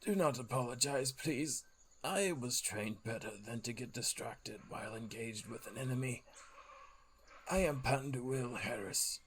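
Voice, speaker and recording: A man playing a formal, polite elf warrior in a fantasy audio drama, with a creaky voice. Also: medium pitch, unhurried, a bit bright, a little hiss or hum, poor audio. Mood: apologetic, proud. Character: courteous, formal male elf warrior, slender and earnest. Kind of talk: tabletop-inspired audio drama acting.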